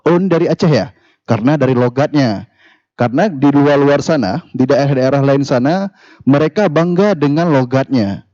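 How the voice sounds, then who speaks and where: A man with a mellow voice makes his case earnestly in a radio discussion, leaning on rhetorical questions. The recording is dry and dull, with a lo-fi radio tone. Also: mid-range voice, normal speed, dry recording. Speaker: talk show guest.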